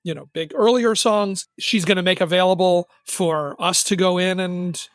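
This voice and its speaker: A man's voice: high-pitched, steady tone, moderate pace, no echo. A man co-hosting a music podcast, talking in a casual, wry and enthusiastic conversational way. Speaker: podcast co-host and music reviewer.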